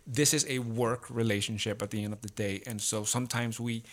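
A man in a casual, reflective discussion, speaking haltingly and repeating words as he works out his thoughts. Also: medium pitch, fairly even intonation. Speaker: theatre company podcast speaker.

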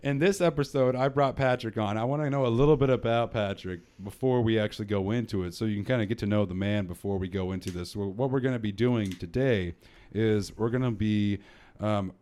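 A man's voice: fairly low pitch, darkish tone. A man hosting a casual podcast, talking in a loose, rambling way with frequent restarts. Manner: rambling, laughs often, many restarts. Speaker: podcast host.

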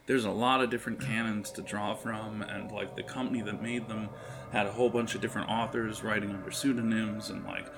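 A man's voice: on the low side, steady tone, brisk, noisy background. A man narrating a tabletop game as game master in a casual, rambling, improvised way. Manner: rambling, improvising, hesitant phrasing.

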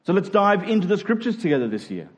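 A man's voice: high-pitched, very animated, fast talker, lo-fi sound. A man with an Australian accent preaching as a pastor, earnest and conversational, often posing questions to his listeners. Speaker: church pastor. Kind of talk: sermon.

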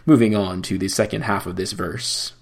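A man teaching how to chant scripture, explaining slowly and breaking into chanted phrases. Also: lowish voice, steady tone. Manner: slow, measured explanation between chanted phrases. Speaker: cantor teaching Torah chanting.

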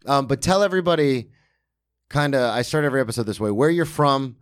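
A man's voice: medium pitch, lively intonation, fast, clean tone, no room sound, studio quality. A young man hosting a casual comedy podcast, talking loosely with lots of fillers and restarts. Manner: loose, rambling, filler-heavy. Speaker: podcast host. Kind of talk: casual interview chat. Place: small studio at a table.

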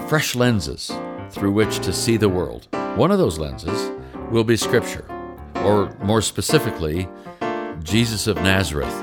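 Gravelly host over loud music. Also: male voice, fairly low pitch. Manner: measured, reflective delivery with slow stretched phrases. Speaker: podcast host. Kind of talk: scripted show introduction.

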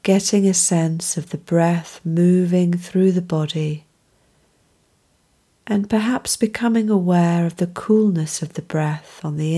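Very slow, mellow woman guiding meditation. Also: lowish voice, slightly rough. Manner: calm, soothing, unhurried with gentle phrasing. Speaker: meditation guide. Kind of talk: guided mindfulness meditation.

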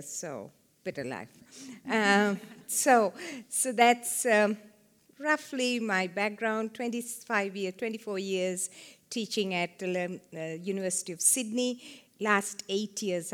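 Woman in her 70s from sri lanka, chatty guest speaker at a small seminar, laughs a lot. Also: medium pitch. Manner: chatty, self-deprecating, laughs often. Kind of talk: live seminar talk. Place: seminar room with a small audience.

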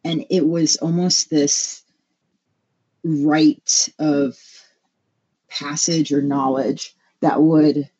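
American woman from hawaii chatting in an interview, husky voice, muffled lo-fi sound. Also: deep voice, flat delivery, extremely slow, pauses often, warm tone. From Hawaii, USA. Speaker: interview guest, photographer. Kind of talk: conversational, reflective interview answers. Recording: dull, lo-fi remote-sounding audio.

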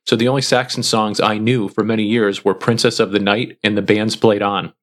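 Podcast co-host, male, lowish voice, easygoing reader who pauses often. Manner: relaxed, many "uh" pauses while reading. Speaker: podcast co-host reading listener feedback. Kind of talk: casual chat, reading comments aloud.

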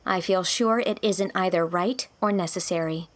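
A woman's voice: fairly low pitch, moderate pace, natural voice. A woman voicing an earnest, idealistic young female teacher in an audiobook, speaking emphatically and pleadingly. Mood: firm, idealistic. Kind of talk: audiobook character dialogue.

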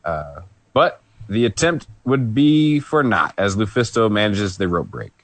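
A man's voice: lowish voice, very animated, measured pace, a bit bright, no echo. A man co-hosting a podcast, recapping a wrestling match in casual chat, rambling with fillers and restarts. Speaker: podcast co-host.

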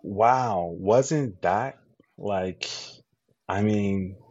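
A man's voice: on the low side, extremely slow, a little mellow, no room sound. A man co-hosting a podcast in casual chat, enthusiastic and rambling, full of fillers and restarts, from the US East Coast. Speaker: podcast co-host. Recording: dull lo-fi dry sound.

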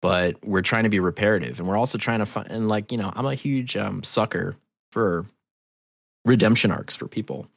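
A man's voice: lowish voice, moderate pace, creaky, dry recording, clean studio sound. A middle-aged man talking casually and candidly as a podcast guest, earnest and rambling, with restarts and loose swearing. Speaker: podcast guest, audio drama creator.

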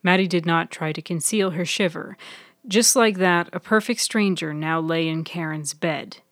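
A woman narrating scripted fiction in a deliberate, dramatic way. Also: lowish voice, fairly bright tone, no echo, studio quality. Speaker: author and narrator.

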